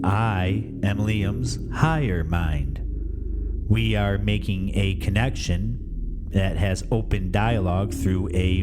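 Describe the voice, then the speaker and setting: A man voicing a calm, smug otherworldly mind in an audio story, measured and patronizing. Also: sits low. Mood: serene. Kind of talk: acted dialogue in an audio story.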